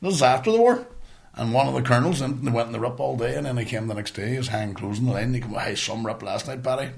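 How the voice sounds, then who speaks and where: A man's voice: medium pitch, fast, vocal fry, heavy background noise. Rambling Northern Irish man telling stories. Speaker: podcast co-host. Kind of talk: casual storytelling banter, sweary.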